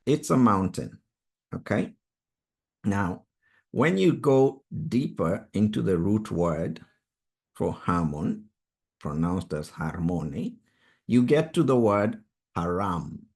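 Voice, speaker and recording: A Swahili-speaking man leads a study group over a video call, his voice sitting fairly low. He talks very slowly and deliberately, with an earnest, emphatic tone as he teaches. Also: animated, gravelly, a little mellow, slightly rough. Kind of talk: online Bible study teaching.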